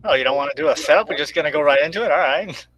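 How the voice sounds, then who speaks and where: A middle-aged man chatting casually on a video call, explaining things in a halting way. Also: on the high side, over a noisy crowd. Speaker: guest writer and radio show host. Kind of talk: casual chat. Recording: clearly noisy line with hum.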